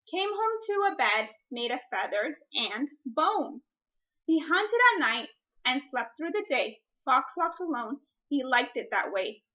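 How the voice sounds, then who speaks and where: A woman demonstrating a read-aloud lesson as a teacher, speaking deliberately and posing drawn-out, wondering questions as if to children. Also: sits high, dull audio.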